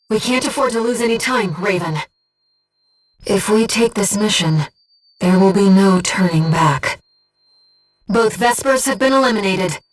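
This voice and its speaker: A woman's voice: medium pitch, lightly acted. Woman voicing a distant, composed female companion spirit. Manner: measured, precise, earnest delivery. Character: calm, earnest, gentle female disembodied voice guiding a pilot. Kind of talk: scripted video game voice acting, mission support.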